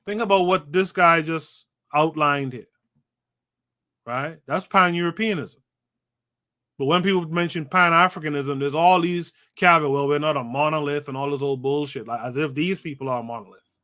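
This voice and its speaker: A man's voice: fairly high pitch, moderate pace, sharp tone. A man with a slightly raised voice reads from a book on his podcast livestream. He stops often to comment, repeats key phrases for emphasis and talks straight to the people watching in the chat. His voice comes through a home streaming mic. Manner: talks to a live chat audience. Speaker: podcast host. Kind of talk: reading a book aloud with live commentary.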